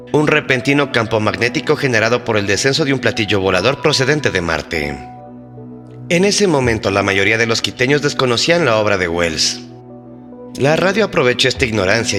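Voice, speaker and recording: A man's medium-pitched voice rings with long reverb over faint music. He narrates a mystery story as its host, reading in a measured, suspenseful documentary style. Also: bright, forceful tone.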